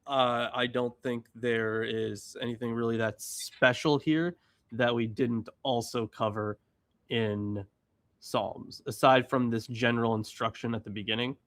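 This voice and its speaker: A man's voice: medium pitch, fairly even intonation, unhurried. A man in a casual panel discussion, thoughtful and using many fillers.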